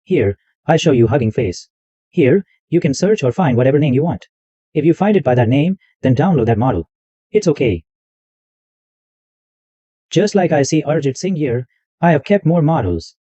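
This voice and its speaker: A man talks through a software setup step by step, often repeating a phrase as he goes. His voice sits a bit high and swings around a lot, with a mellow tone. The recording is dry and a little dull and lo-fi. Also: very animated, moderate pace, pauses often, dry recording. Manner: explains step by step, repeats himself. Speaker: tech tutorial host. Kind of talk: screen-recorded software walkthrough.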